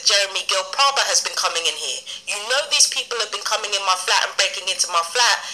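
A woman's voice: mid-range voice, bright, forceful tone. A British woman in a casual phone conversation, insistent and firm, replayed through a small recorder speaker. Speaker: support client on a phone call. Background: phone call replayed from a handheld voice recorder.

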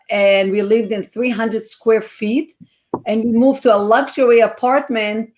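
Woman guest, middle-aged, earnest, halting, on a webcam. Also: a little high. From Israel. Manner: earnest, with frequent "uh" fillers. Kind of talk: interview storytelling. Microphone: video call webcam.

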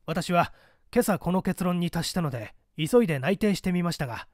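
A man voicing a calm, shrewd police detective who reports methodically and politely in a dramatized audiobook. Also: high voice, fairly quick, no room sound, clean studio sound. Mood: matter-of-fact.

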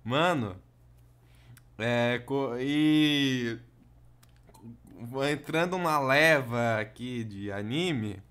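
A man's voice: medium pitch, extremely slow, pauses often, a bit bright, smooth. A young man with a Brazilian accent, chatting casually with slang as a podcast co-host.

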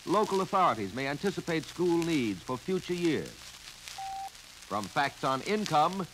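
An American man narrating a 1950 instructional film in a clear, instructive manner that addresses the listener directly. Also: on the high side. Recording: noisy 1950 filmstrip soundtrack, steady crackle.

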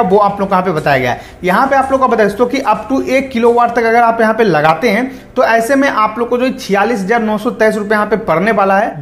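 A man's voice: high voice, a bit bright. A man presenting an explanatory online tutorial, going over points repeatedly in a roomy, echoing recording. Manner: repetitive, explanatory, reads out then paraphrases.

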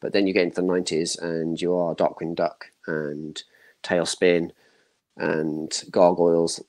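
A man's voice: deep voice, flat delivery, a little slow, darkish tone. A man in his thirties chatting casually as a panel guest, giving opinions in short broken bursts. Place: home room, indoors, video call.

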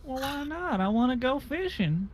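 A man's voice: extremely high pitch, big pitch swings, moderate pace, full character voice. A man playing a dim, childlike deputy, hesitant and eager to please, stuttering through a comedy game roleplay. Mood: naive, timid.

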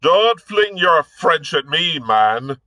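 A man playing a loud, hectoring dragoon captain. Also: medium pitch, slow, no room sound, pitched above the performer's own voice. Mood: blustering. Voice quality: heavy, hectoring. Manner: loud, sneering. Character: blustering bullying male dragoon captain.

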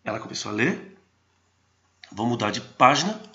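A man hosting a screen-recorded tutorial on software and apps, talking with many restarts and fillers. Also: mid-range voice, sing-song, moderate pace, lo-fi sound. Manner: hesitant, frequent restarts and fillers. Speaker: host of a geotechnology channel.

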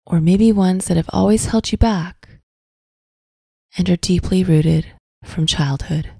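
A woman narrating a scripted guided visualization in a soft, breathy, soothing voice, with deliberate phrasing and long pauses. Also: lowish voice, dry recording, studio quality. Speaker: guided meditation host.

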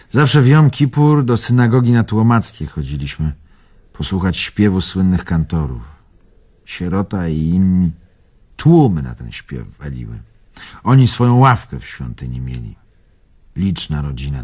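A man's voice: deep voice, a little mellow, breathy edge, heavily acted. An older man playing a bitter, wounded old bon vivant in a radio drama, musing theatrically and evasively. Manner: theatrical, musing, swinging between murmur and outburst.

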